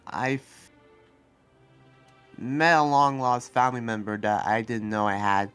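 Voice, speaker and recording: A man playing an earnest, soft-hearted demon researcher in an improvised anime roleplay, speaking in a halting, rambling way. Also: mid-range voice, flat delivery, clean tone, natural voice. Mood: moved. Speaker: roleplay player. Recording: background music under the voice.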